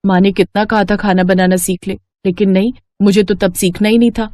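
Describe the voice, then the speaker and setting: A woman's voice: medium pitch, little pitch movement, fairly quick, mellow, dry recording, lightly acted. A woman voicing a hapless young daughter-in-law, anxious and complaining, in a cartoon story. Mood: worried. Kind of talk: Hindi cartoon story dialogue.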